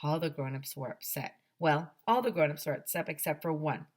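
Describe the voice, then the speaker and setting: A woman's voice: deep voice, expressive, fairly quick. A woman reading a children's story aloud as a teacher would, acting out the dialogue between stretches of narration.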